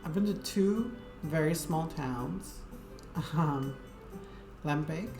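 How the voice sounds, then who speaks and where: A woman's voice: sits low, takes it slowly. A woman game streamer chatting casually to viewers in a rambling, hesitant way, with lots of fillers. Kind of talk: casual live-stream chat. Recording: echoey room with game music.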